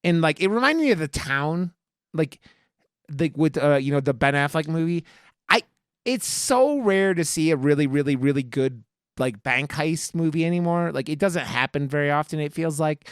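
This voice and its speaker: A man's voice: a little high, lively intonation, normal speed, clean tone, no echo, clean studio sound. A man hosting a casual podcast, chatting about films in a gushing, enthusiastic way with plenty of swearing. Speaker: podcast host. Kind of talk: casual, profane film review chat.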